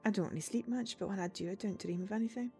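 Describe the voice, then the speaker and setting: A woman's voice: medium pitch, quick pace, dark tone, lightly acted. A woman voicing an earnest, explanatory female android companion in a visual novel, over music. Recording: music behind the voice.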